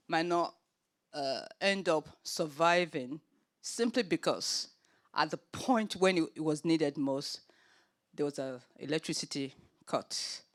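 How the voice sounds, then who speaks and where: A Nigerian woman opens a forum panel from the chair. She speaks earnestly and stops often on filler sounds while she gathers her thoughts. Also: on the low side. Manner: earnest, with many filler pauses. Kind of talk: opening remarks at a forum panel.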